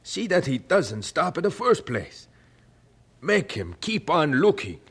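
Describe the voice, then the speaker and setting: An actor playing an earnest, stubborn German missionary in an old-time radio drama, speaking fervently and deliberately. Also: male voice, fairly high pitch, very animated, heavy background noise. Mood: prayerful.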